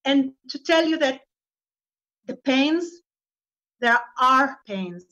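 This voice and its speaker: A woman giving a slow, solemn festive greeting in measured phrases from a living room over a webcam. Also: sits high, lively intonation, sharp tone. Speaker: speaker at a celebration event. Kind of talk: formal greeting speech. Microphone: webcam on a video call. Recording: slightly dull lo-fi sound.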